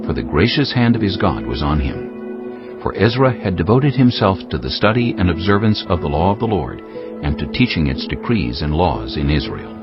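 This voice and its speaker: A man's voice: sits low, sing-song, moderate pace. A man narrating scripture from a script in a solemn, formal manner. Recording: muffled, music behind the voice. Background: music.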